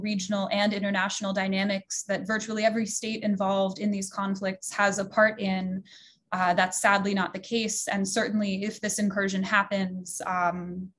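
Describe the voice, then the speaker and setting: A woman with a medium-pitched voice moderates a policy discussion. She speaks formally and articulately, laying out her points in measured phrases. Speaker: panel moderator.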